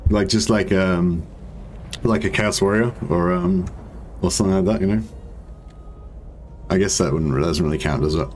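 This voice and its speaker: A man with a deep voice giving casual, rambling commentary while playing a strategy game. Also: moderate pace, long pauses, slight background noise. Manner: rambling, relaxed, many fillers. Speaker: gaming streamer. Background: faint game music.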